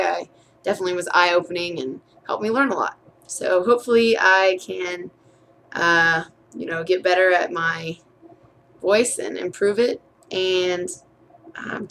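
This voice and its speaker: A woman's voice: lowish voice, measured pace, a bit bright, a little hiss or hum. A young woman, a college student, talking candidly in a casual video diary about her writing, chatty with frequent fillers and restarts. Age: young adult.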